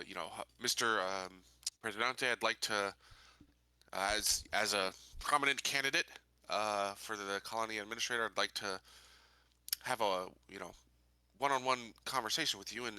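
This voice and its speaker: A man's voice: on the low side, bright, forceful tone, clear, resonant tone, no room sound, rough recording. A man playing a tabletop game, improvising casually and hesitantly in short pieces. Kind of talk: casual improvised roleplay talk.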